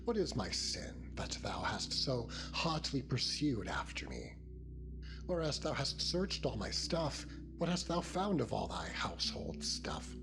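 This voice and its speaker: A man's voice: mid-range voice, big pitch swings, a little slow, creaky, poor audio. A man voicing an aggrieved, earnest biblical patriarch in solemn, declamatory dramatized scripture. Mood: indignant. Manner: declamatory, archaic solemn phrasing. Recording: music bed behind the voice.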